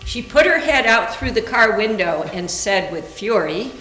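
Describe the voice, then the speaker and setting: Female narrator, medium pitch, scripted story reading, roomy echo, faint hum. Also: expressive, a little slow, bright, forceful tone. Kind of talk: scripted narration, readers theater. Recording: echoey room with faint hum.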